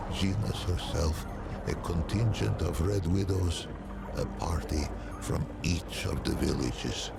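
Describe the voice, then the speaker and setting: A man playing an ancient, learned non-human storyteller in a video game, narrating old lore in a stately, solemn, wry manner with archaic phrasing and deliberate pauses. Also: heavy background noise, exaggerated voice. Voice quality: very deep, gravelly. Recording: music behind the voice. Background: prominent background music.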